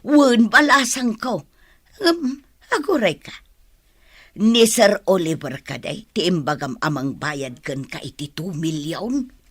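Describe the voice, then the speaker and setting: An actress playing a gossipy, bossy but kindly household helper woman with a husky voice in an Ilocano radio drama. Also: fairly low pitch, animated, slow, no echo, studio quality, heavily acted. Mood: confiding.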